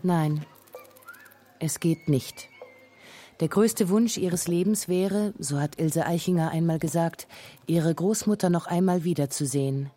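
A woman's voice: lowish voice, measured pace, mellow. A woman narrating a scripted radio feature as its documentary narrator.

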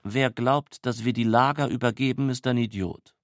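Casting: male actor as callous SS officer for radio play, mid-pitched, coolly dismissive and cynical. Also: moderate pace, over music, close to an everyday voice. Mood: official, contemptuous. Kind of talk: radio play dialogue and dry document reading.

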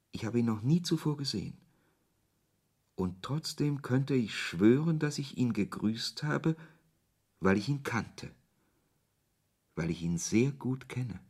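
An actor playing a pensive author who narrates in the first person in a literary radio play, reflective and deliberate, with a husky voice. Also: male voice, medium pitch, darkish tone. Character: pensive male author recounting his uncanny encounters.

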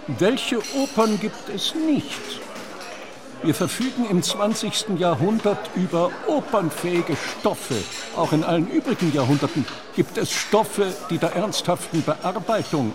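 A man's voice: high-pitched, exaggerated voice. A man playing an earnest opera theorist in a radio play interview, speaking formally, learnedly and with emphasis. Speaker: interviewed author.

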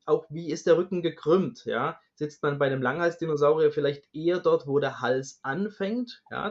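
Higher-voiced man explaining, dull recording. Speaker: dinosaur expert guest. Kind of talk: informal expert explanation. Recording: dull, band-limited sound.

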